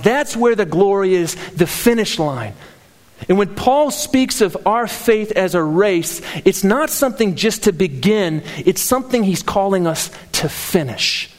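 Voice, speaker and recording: High-voiced preacher in a reverberant hall. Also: male voice. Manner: earnest, builds emphasis with repeated phrases. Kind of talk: sermon.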